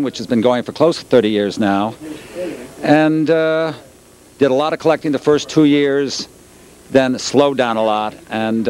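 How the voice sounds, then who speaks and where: A middle-aged man giving casual interview answers in a halting, matter-of-fact way. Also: medium pitch, darkish tone, rough recording. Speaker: newsletter publisher and convention organizer.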